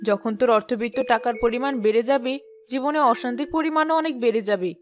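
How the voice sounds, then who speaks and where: An actress playing a sensible, contented village wife in a cartoon, earnest and admonishing in manner. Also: female voice, a little high, quick pace, faint music behind, lightly acted. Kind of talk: cartoon dialogue.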